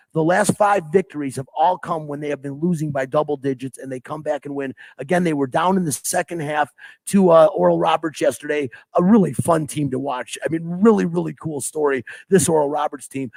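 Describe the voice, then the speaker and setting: Hoarse, gravelly man ranting about sports. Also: fairly high pitch, darkish tone, dry recording. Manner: opinionated, ranting, emphatic. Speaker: sports talk show host. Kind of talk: casual sports talk.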